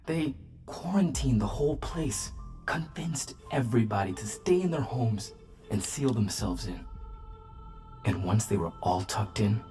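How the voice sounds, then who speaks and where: A man's voice: lowish voice, expressive, measured pace. Bitter, haunted male smuggler, faint music. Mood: grim, bitter. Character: bitter, haunted former smuggler recounting wartime horrors. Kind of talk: video game cutscene acting.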